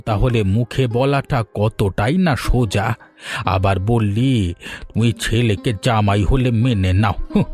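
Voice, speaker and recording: A man's voice: mid-range voice, a little slow, darkish tone, natural voice. Man playing a lecturing village father, music behind. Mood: chiding. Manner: lecturing, goading. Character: village father of three daughters, testing and chiding. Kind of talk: Bengali cartoon fairy-tale dubbing. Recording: music under the voice.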